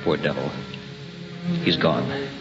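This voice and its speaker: An actor playing a cautious, uneasy scientist's associate in an old-time radio drama, speaking in wary, clipped lines. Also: male voice, rumbling low, steady tone, slow pace, music in the background. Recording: muffled, noisy vintage radio recording.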